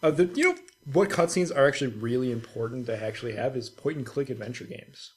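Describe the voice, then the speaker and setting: A man co-hosting a gaming podcast in casual, sweary chat, telling stories in an animated, informal way. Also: medium pitch, fast talker. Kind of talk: casual chat about video games.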